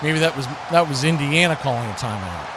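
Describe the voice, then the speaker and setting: A man's voice: medium pitch, lively intonation, brisk, noisy background. A man calling American football live as a play-by-play commentator. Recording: loud crowd roar and music behind.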